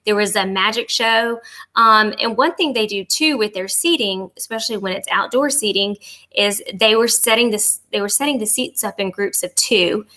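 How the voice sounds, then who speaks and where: A woman's voice: mid-range voice, moderate pace, sharp tone. A woman speaking as a podcast guest, giving conversational answers in an interview. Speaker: podcast guest, travel agent.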